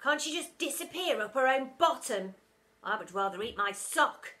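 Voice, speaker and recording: A woman doing shrill cheeky schoolkids. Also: expressive, moderate pace. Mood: rowdy. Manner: shrill, shouted, mocking. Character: cheeky rowdy schoolchildren shouting. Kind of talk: storybook character voice.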